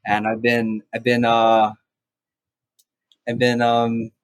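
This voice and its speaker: A young man from Maui, a house church pastor, sharing his story in a soft-spoken, halting way with many restarts. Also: mid-range voice, completely flat delivery, no room sound. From Maui, Hawaii. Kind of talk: casual podcast conversation.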